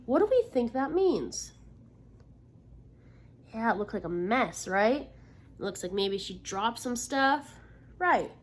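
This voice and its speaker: A woman reading a picture book aloud to children like a teacher, expressively, pausing to put encouraging questions to her listeners. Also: on the high side, sing-song, slight background noise. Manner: encouraging, checks understanding with frequent questions. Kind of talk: interactive storybook read-aloud with questions to the listeners.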